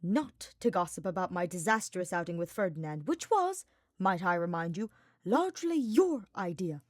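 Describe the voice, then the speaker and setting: A stern dark mage acted by a woman reader in a fantasy tale. He speaks formally and bitingly, with clipped sardonic irritation. The recording is dry. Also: on the low side, sing-song, normal speed, dry recording. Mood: irritated.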